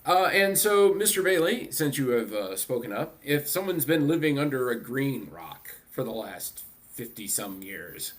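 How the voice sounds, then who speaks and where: A man's voice: mid-range voice, sing-song, unhurried, few pauses. A man chatting casually as the moderator of a fan panel. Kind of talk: casual chat.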